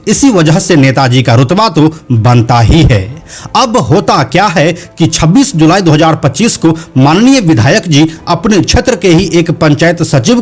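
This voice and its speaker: A man narrating a scripted explainer video as its host. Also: fairly high pitch. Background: background music.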